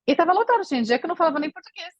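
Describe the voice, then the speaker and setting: A woman's voice: extremely high pitch, sing-song, rapid-fire, dry recording, lo-fi sound. A woman from Brazil, a podcast guest, chatting casually and rambling with many fillers and restarts. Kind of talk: casual interview chat.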